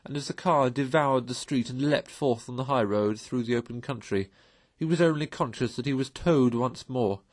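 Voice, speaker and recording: A man tells a story in expressive read-aloud narration. His voice sits at a medium pitch, and the recording is dry and close, with very little room sound. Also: normal speed, no room sound. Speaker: storyteller reading a novel aloud.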